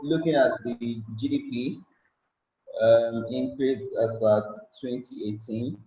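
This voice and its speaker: A man from Nigeria with a Nigerian accent giving a tech presentation, unhurried and filling pauses with many ums. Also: medium pitch, mellow. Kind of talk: conference presentation. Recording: roomy, slightly dull sound.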